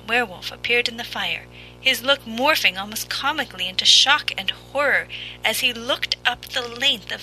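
A woman's voice: a little high, bright, forceful tone, low-quality recording. A woman reading a scripted fan fiction story as its narrator.

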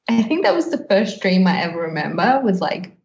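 A woman's voice: mid-range voice, creaky, slight background noise. A woman hosting a podcast in casual chat, with a giggly way of talking that often breaks into laughter. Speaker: podcast host. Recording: slightly dull, echoey room.

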